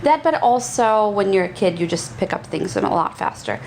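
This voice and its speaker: A woman's voice: mid-range voice, animated, normal speed, darkish tone, a little hiss or hum, rough recording. A woman from Albania, a native Albanian speaker, chatting casually as a podcast host and laughing often as she tells rambling stories. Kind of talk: casual interview chat.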